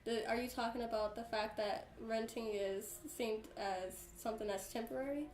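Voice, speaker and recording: Woman interviewer, somewhat high voice, hesitant phrasing, roomy echo. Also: fairly even intonation, moderate pace, darkish tone. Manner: hesitant, rephrases her questions. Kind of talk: oral history interview.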